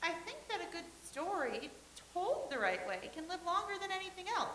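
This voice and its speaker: Roomy, faintly hissy stage recording of an eager old-time young actress played by a woman. Her voice is bright and highly animated, full of dreamy ambition. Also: fairly quick, exaggerated voice. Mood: earnest, eager. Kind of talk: staged play reading, comedic. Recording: roomy echo, faint hiss and noise.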